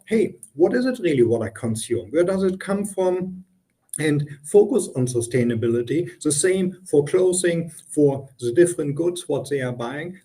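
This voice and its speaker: German guy, strong accent, interview guest, keeps restarting sentences, relaxed low-key tone. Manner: halting, with frequent ums and restarts. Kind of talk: interview answers.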